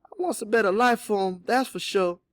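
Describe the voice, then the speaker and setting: Man voicing a high-pitched, protective Southern mother. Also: expressive, a little fast, no room sound, clean studio sound, natural voice. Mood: anguished. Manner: emphatic Southern dialect, strained. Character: enslaved mother, wary, protective, stubborn and anguished.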